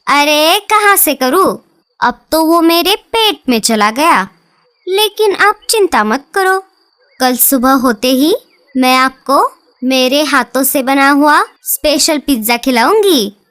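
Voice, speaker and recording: A woman plays a gentle mother bird in a cartoon, chatty and coaxing, with a high voice and slow delivery. Loud music plays behind. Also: expressive, exaggerated voice.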